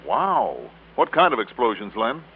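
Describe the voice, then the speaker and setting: A thin old radio recording carries a hard-boiled investigator. He is wry and world-weary, with a lively, dramatic swing to his lines. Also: on the low side, unhurried, husky, phone-quality sound, heavily acted. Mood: breezy, curious. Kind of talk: old-time radio detective acting and narration.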